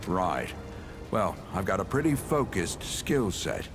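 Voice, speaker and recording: An actor playing a genial, folksy equipment smith and survivor in a video game, chatty and welcoming. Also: male voice, deep voice, very animated, slow pace, music in the background, heavily acted. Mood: amused, curious. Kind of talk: video game character dialogue.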